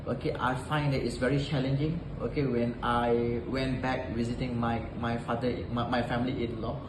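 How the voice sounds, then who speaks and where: Malaysian man in his thirties, echoey room. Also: mid-range voice. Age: 30s. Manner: says "all right" and "okay" often. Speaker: interviewee. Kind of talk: self-introduction and interview answers. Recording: echoey room with background noise.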